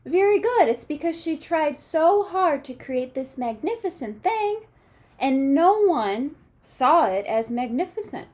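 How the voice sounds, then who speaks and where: A woman teacher reading a picture book aloud to children, expressively, pausing to ask questions and check understanding. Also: high-pitched, sing-song, darkish tone.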